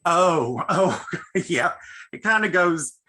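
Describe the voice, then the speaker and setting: Very bright-voiced man chatting casually. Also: a little high, animated, slow, a little hiss or hum. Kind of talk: casual chat. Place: remote video call.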